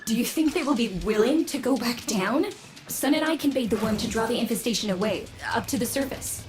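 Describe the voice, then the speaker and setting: Woman as an earnest, high-voiced game daughter. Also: fairly quick, close to an everyday voice. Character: earnest, testy daughter of a family of scientists. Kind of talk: video game cutscene acting. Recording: music behind the voice.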